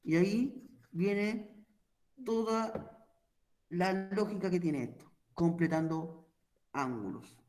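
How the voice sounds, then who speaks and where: High-voiced man teaching math. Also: slow, a little mellow. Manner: explanatory, checks in with repeated "¿ya?". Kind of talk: online math lesson.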